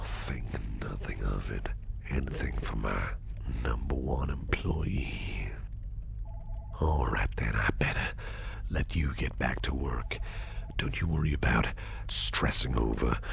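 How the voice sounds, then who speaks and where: Man playing a very deep, gravelly gentleman boss. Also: exaggerated voice. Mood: tender, reassuring. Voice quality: breathy low half-whisper, gravelly. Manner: gentlemanly, formal, soothing. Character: courteous, protective, doting businessman boss. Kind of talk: ASMR roleplay. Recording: noisy, with rain and street ambience.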